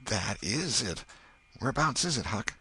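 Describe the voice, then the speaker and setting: A man voicing an excitable young boy, bold yet superstitious, whispering urgently in rustic dialect. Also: medium pitch, measured pace, husky, voice pushed up, full character voice.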